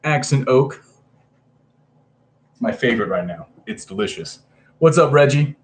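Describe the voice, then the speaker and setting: A man's voice: mid-range voice, animated, measured pace, slight background noise. A man in his thirties casually chatting on a livestream, greeting viewers by name with lots of hesitant fillers. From Hawaii. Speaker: comic book livestreamer.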